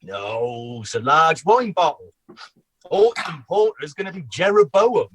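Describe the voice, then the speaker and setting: A man's voice: a little high, big pitch swings, unhurried, husky, no echo, exaggerated voice. A man playing a proud, slightly defensive pub brewer in a radio comedy.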